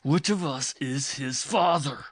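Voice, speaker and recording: A man voicing a jealous, furious peasant husband in a storybook reading, who swings between loud shouts and a hoarse, hissing whisper. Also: mid-range voice, takes it slowly, no echo, studio quality, pitched above the performer's own voice. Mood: threatening. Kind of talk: audiobook dialogue reading.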